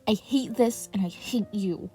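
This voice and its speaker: A woman's voice: mid-range voice, measured pace, mellow, faint music behind, lightly acted. A woman voicing a weary male hero teacher with a dry, grumbling manner in a story reading. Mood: grudging. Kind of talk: audiobook-style fan fiction character reading.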